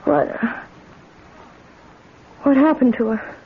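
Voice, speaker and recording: An actress playing a distraught young wife in a 1970s radio drama, speaking haltingly and repeating herself in panic. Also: female voice, high voice, husky, full character voice. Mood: frightened. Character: distraught young wife haunted by a headless apparition. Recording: muffled, noisy 1970s radio recording.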